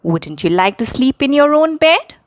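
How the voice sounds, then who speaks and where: A mother elephant in a children's storybook, acted out by a woman. She is caring and persuasive, addressing little ones with a raised, animated pitch. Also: normal speed. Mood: coaxing. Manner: coaxing, calling out to children. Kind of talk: children's storybook read-aloud.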